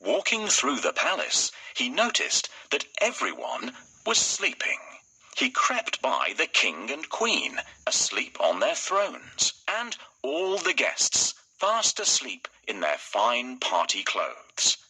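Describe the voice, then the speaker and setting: Bright, husky male storyteller, very animated. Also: medium pitch, slow pace, low-quality recording. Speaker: storybook narrator. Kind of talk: scripted fairy tale narration.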